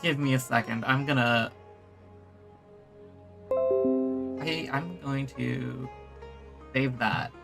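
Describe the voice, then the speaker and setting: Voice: mid-range voice, very slow, gravelly, long pauses. A game streamer chatting casually on a livestream, hesitating and thinking aloud in a rambling way. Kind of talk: casual gameplay livestream chat. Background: faint game music.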